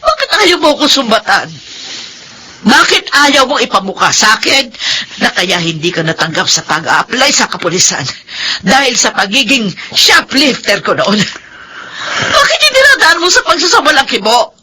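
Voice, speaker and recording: A woman's voice: a little high, big pitch swings, normal speed, bright, forceful tone, a little hiss or hum. An actress playing an anguished middle-aged Filipino mother in a radio drama, with an emotive, pleading delivery. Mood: grave, earnest. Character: guilt-ridden, anguished mother of grown children. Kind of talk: Tagalog radio drama acting. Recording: music under the voice.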